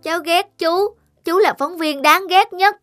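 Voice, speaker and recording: A woman voicing a character in an animated moral story. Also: very high-pitched, unhurried, no echo. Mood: angry, hurt. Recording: music behind the voice.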